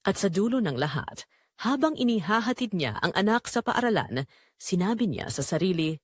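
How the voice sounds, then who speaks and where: A woman narrating a romantic drama in a measured, sentimental storytelling manner. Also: mid-range voice, big pitch swings, slightly rough, no echo, studio quality.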